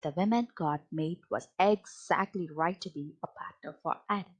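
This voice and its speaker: A woman's voice: lowish voice, normal speed, slightly rough. A woman reading a children's Bible story aloud as a storybook narrator. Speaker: storybook reader.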